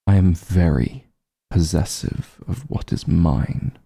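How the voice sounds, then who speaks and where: An ancient vampire lord, acted by a man, haughty and possessive, with a very dark, low voice. He speaks in slow, measured commands with a gravelly creak, as in an intimate fantasy ASMR drama. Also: full character voice. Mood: possessive, stern. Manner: imperious, disdainful, measured and commanding. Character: haughty centuries-old male vampire lord, cold, possessive. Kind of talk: ASMR roleplay, scripted dramatic monologue.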